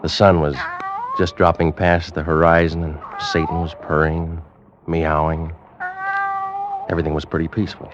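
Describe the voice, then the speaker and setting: An actor playing a steady, dutiful ship's officer who narrates in a measured, matter-of-fact way, in the style of 1940s radio drama. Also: male voice, extremely low pitch, background noise. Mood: measured, brooding. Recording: dull vintage radio recording with music.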